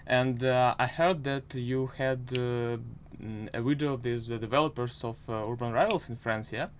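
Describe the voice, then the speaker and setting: A man in his twenties with a Ukrainian accent hosting a casual interview in hesitant, halting English. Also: mid-range voice, steady tone, a little hiss or hum. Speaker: podcast host, interviewer.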